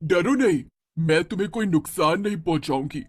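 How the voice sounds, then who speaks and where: Man doing a creepy female witch for a cartoon story, high voice, drawn-out words, coaxing n bossy. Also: animated. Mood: commanding, bargaining. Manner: drawn-out, commanding, eerie coaxing. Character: vengeful female witch ghost, eerily inviting, bargaining. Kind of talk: cartoon horror moral story.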